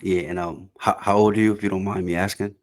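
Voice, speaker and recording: Very deep-voiced man in his thirties. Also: fast talker. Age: 30s. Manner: relaxed, informal speech, some "um"s. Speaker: podcast host. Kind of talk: casual interview chat.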